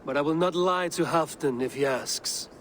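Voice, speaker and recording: Man as a stern, higher-voiced Viking raider. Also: normal speed, fairly bright tone, slight background noise, close to an everyday voice. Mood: resolute. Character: stern, blunt, principled Viking raider hero. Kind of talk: dramatic video game cutscene acting.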